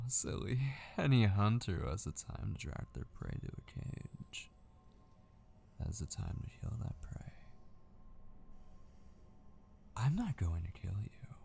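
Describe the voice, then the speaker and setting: A man playing an obsessive, possessive kidnapper in an intimate ASMR roleplay, gleefully menacing and patient, slow and teasing, drifting toward whispers. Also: sing-song, warm tone, heavily acted. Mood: obsessive, coaxing. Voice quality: low, creaky.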